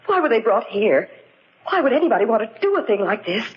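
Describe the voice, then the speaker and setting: A woman's voice: fairly high pitch, very animated, fast. An actress playing a frightened young woman in an old radio mystery, anxious and breathlessly questioning. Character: frightened young lady, the detective's anxious companion. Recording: muffled old radio recording.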